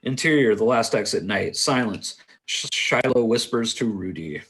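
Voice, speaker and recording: A man narrating stage directions at a film script table read, halting and sometimes stumbling over his words. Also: on the low side, animated, a bit bright. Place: indoors on a remote internet call.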